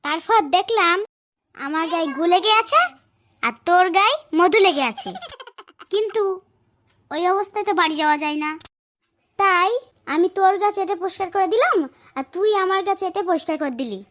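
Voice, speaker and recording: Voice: very high voice, fairly quick, pauses often, a bit bright. A performer voicing a cheeky, mock-innocent little school kid in a comic cartoon. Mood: gleeful teasing.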